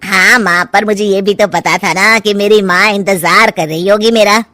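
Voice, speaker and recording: A woman's voice: mid-range voice, fairly even intonation, moderate pace, sharp tone, no room sound, lightly acted. Woman voicing a bossy, self-centred grown son. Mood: ingratiating. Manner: brusque, insistent. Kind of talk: cartoon family story dialogue.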